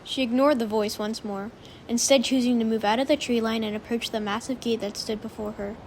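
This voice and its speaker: A woman's voice: fairly high pitch, fairly even intonation, brisk, a little hiss or hum. A woman narrating a story from a script, as in an audiobook of fan fiction.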